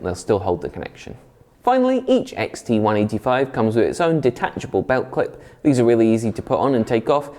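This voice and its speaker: A man's voice: lowish voice, darkish tone. A man presenting a scripted product review in an informal, explanatory way.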